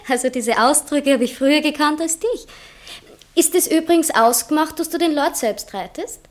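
An actress playing an adoring but proud, touchy society fiancée with an Austrian accent in a theatrical radio comedy, coquettish and self-assertive. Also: female voice, sits high, normal speed, natural voice. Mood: playful.

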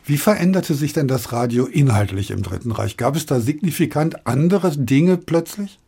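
A man's voice: a little high, expressive, moderate pace, vocal fry, mellow, husky. A man hosting a radio discussion, asking questions and introducing clips. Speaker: interview host.

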